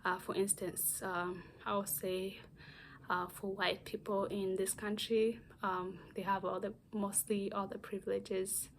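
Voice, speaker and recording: Halting young woman reading class notes. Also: mid-range voice, level tone, slight background noise. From Democratic Republic of the Congo. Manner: halting, many pauses and restarts. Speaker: graduate student. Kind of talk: recorded class presentation read from notes.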